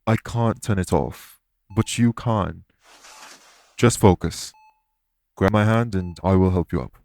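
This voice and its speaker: A man voicing a calm, courteous winged elf in an audio roleplay, speaking formally and patiently to reassure the listener. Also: low-pitched, unhurried, vocal fry, dry recording. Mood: measured, explanatory.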